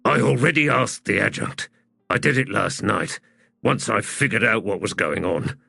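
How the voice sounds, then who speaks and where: A man's voice: lowish voice, moderate pace, gravelly, sharp tone, slightly rough, no echo, full character voice. A man voicing a stubborn, scrappy army sapper in a fantasy audiobook, blunt, insistent and impassioned.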